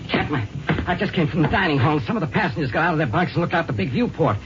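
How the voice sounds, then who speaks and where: A man's voice: on the high side, lively intonation, fast, loud music behind the voice, close to an everyday voice. A man playing an anxious, deferential junior spaceship officer in a 1950s radio drama, earnest and hesitant. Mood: alarmed. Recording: muffled 1950s radio recording.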